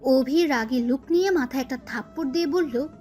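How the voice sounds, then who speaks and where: A woman's voice: high-pitched, brisk, warm tone. A woman reading scripted narration for an audio story. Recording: music bed under the voice. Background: background music.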